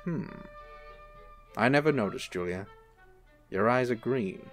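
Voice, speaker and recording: Man as charming angel, teasing, courtly, animated pitch, audio drama, faint music behind. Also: unhurried, close to an everyday voice. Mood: charming, tender. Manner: smooth, playful, courtly. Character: suave, teasing man, an angel in human form. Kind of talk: radio-play style dramatic acting.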